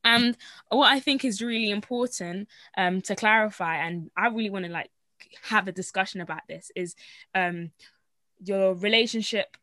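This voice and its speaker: A woman's voice: medium pitch, moderate pace, sharp tone, dry recording. A woman hosting a casual faith discussion, reading Bible verses aloud and rambling with frequent fillers. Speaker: host.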